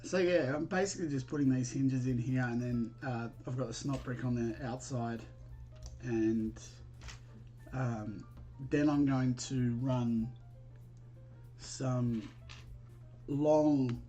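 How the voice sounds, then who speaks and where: Australian male streamer, mid-pitched, informal and rambling, music behind. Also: steady tone, slow, pauses often, warm tone. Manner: hesitant, rambling, thinking aloud; friendly, informal greetings to viewers. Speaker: live stream host. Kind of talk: casual chat while building, answering viewers.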